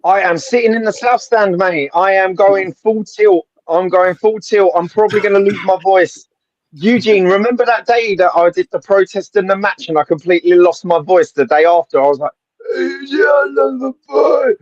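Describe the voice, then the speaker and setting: A man chatting about football on a live stream, excitable and laughing often. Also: sits high, moderate pace, lo-fi sound. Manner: excitable, laughs often, says innit and mate. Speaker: panel guest. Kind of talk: casual football chat.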